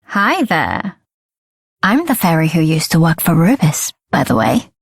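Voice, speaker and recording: An actress voicing a helpful, candid fairy guide in a video game, chatty and conversational. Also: female voice, low voice, very animated, a little slow, gravelly, breathy edge, no room sound, studio quality, full character voice. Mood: breezy, apologetic. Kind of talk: scripted video game voice acting.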